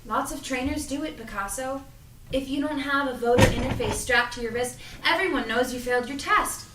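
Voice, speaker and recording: A woman's voice: high voice, fairly quick, recorded from a distance, heavy background noise, natural voice. A woman playing an earnest, anxious young apprentice in an audio drama read-through, speaking naturally and conversationally. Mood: anxious, confiding. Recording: roomy, off-mic, music underneath.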